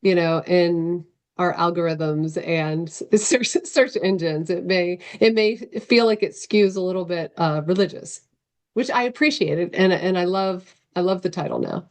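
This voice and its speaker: A woman novelist talking casually in an interview on a video call, reflective and halting as she searches for words. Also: on the low side.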